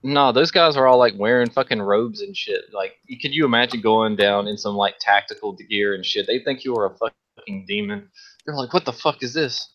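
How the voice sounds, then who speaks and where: A man's voice: mid-range voice, expressive, normal speed, a bit bright. A man bantering casually, laid-back and agreeable, swearing freely. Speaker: co-host of a casual stream. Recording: dull, lo-fi voice chat.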